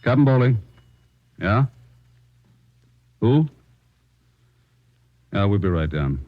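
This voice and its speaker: An actor playing a brisk, no-nonsense police captain in a 1950s radio drama, gruff and businesslike. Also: male voice, lowish voice, big pitch swings. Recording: dull old radio recording.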